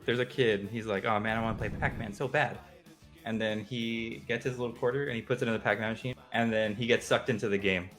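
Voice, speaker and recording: A man's voice: lowish voice, steady tone, fast talker, a bit bright, soft background music. A man co-hosting a casual show, bantering and rambling in informal slang. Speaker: show co-host. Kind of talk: casual banter about video game movies.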